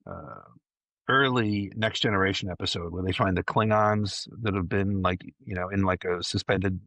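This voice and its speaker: A man's voice: deep voice, steady tone, normal speed, vocal fry, a bit bright, no room sound, muffled recording. A man chatting casually on a podcast panel, rambling along with enthusiasm. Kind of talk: casual chat.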